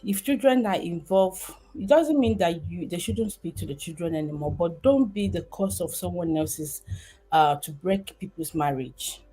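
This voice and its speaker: Chatty Nigerian woman, low voice. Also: animated, normal speed, mellow, faint music behind, poor audio. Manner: chatty, rambling, with many fillers and restarts. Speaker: livestream host. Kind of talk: casual livestream commentary.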